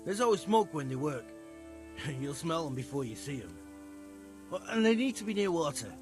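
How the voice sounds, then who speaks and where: A man's voice: on the high side, big pitch swings, moderate pace, music in the background. A man playing a hesitant, earnest medieval stablehand in video game dialogue, folksy and loyal. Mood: confiding, helpful. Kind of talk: conversational video game acting.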